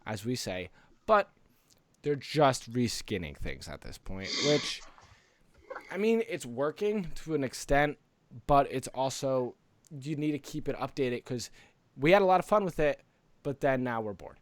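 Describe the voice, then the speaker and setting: An American man co-hosting a podcast, chatting casually and haltingly, often repeating himself. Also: mid-range voice, big pitch swings, no room sound. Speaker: podcast co-host. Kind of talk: casual podcast chat about news and games.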